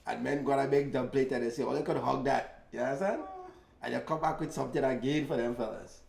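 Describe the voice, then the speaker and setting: A man trades playful jabs as a sports talk co-host. His voice is dark and gravelly, full of fry. The sound carries an echoey room tone. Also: mid-range voice, steady tone, fast, a little hiss or hum. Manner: teasing, playful, leans on "you know what I mean". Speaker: podcast co-host. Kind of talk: casual sports banter. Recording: echoey room, mic at a distance.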